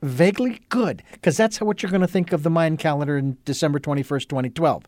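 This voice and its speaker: Male talk-radio host, slightly high-pitched, earnest and rambling, close dry mic. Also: quick pace, a little mellow, no echo. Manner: rambling, many ums and uhs, earnest. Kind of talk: casual talk radio chat.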